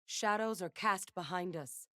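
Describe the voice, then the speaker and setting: A woman's voice: fairly low pitch, unhurried, pushed and bright, no room sound. A woman playing a battle-hardened, wry and defiant young gunslinger in a video game, speaking in a dry, clipped, commanding way. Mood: solemn. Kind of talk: video game character voice lines.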